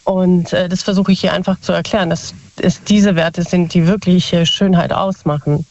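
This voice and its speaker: A woman's voice: lowish voice, steady tone, normal speed, darkish tone, no room sound. A woman in her forties chatting casually, with lots of fillers and self-corrections. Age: 40s. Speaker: guest. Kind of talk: casual chat. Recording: dull lo-fi dry sound.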